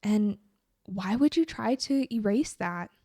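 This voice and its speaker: A young woman hosting an English-learning podcast, talking calmly and encouragingly as a teacher. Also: medium pitch. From United States. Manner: calm, encouraging, slow and deliberate. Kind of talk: scripted educational podcast talk.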